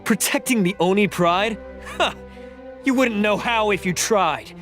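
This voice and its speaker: A defiant young oni outlaw in a video game. His voice is a little high, with music playing behind it. He speaks with dramatic intensity, scornful and bitter. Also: a little slow, a bit bright. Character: bitter, defiant young male oni outcast turned thief.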